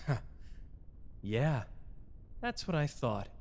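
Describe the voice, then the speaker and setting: A man's voice: very animated, slow pace, darkish tone. A man playing a cocky, protective young sorcerer in an anime audio drama, with a taunting, casual swagger. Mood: cold, commanding. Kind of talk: scripted anime fan audio drama acting. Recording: long reverb, faint rumbling noise.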